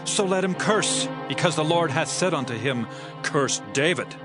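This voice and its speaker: A man's voice: a little high, expressive, normal speed, lightly acted. A man playing a grave, long-suffering ancient king, solemn and declamatory, in a dramatized scripture reading. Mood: resigned, pleading. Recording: loud background music.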